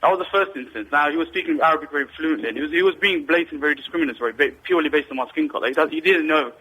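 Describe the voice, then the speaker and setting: Fast-talking man on a phone line. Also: on the high side, pushed and bright, a little hiss or hum. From Britain. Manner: rambling, hesitant, many restarts and fillers. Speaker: caller. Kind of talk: phone-in call.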